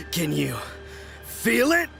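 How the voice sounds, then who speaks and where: Game role: unhinged manly show-off, theatrical, animated pitch, music behind. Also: male voice, extremely slow, creaky, full character voice. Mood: manic bluster. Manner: theatrical, swinging between bluster and pleading. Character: crazed, boastful young man obsessed with manliness. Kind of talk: video game voice acting. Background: background music.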